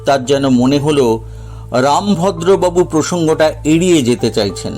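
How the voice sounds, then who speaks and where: A man narrating a scripted dramatic story in a measured, literary storytelling manner. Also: fairly high pitch, lively intonation, a little mellow, soft background music.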